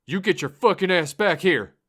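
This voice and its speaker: A man voicing a panicking, furious teenage boy driver who yells and swears threats. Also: high-pitched, lively intonation, normal speed, voice pushed up.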